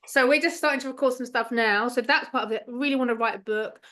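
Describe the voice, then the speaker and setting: A woman, a hypnotherapist chatting as a podcast guest, laughing often and trailing off into softer asides. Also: a little high, fast. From England. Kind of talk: casual interview chat.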